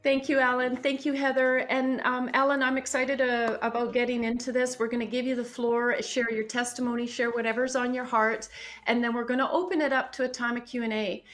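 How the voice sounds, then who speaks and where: A woman's voice: on the high side, flat delivery, brisk, a bit bright. A woman hosting an online meeting, upbeat and informal as she hands over to her guest. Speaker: host.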